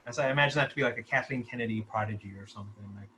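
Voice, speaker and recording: A man's voice: medium pitch, very fast, bright, forceful tone, slight background noise, rough recording. A man talking casually in a group discussion as a filmmaker, explaining his own work. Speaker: filmmaker discussing his short script at a table read.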